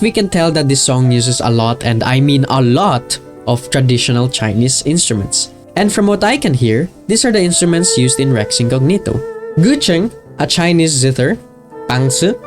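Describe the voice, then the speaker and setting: A man's voice: fairly high pitch, very animated, moderate pace. A man narrating a video essay in a casual, enthusiastic and informal way. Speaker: video creator. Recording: music behind the voice. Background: music with guitar.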